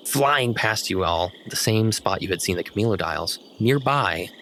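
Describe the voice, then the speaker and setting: A man with a fairly low voice narrates a tabletop fantasy game as its game master. Crickets and frogs sound behind him, with faint noise in the recording. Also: a bit bright.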